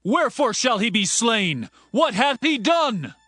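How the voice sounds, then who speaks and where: High, bright impassioned young prince played by a man. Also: sing-song, a little slow, dry recording, clean studio sound, exaggerated voice. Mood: urgent. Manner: urgent, declamatory. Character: earnest, impassioned young prince and loyal friend. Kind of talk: dramatized scripture reading, archaic English.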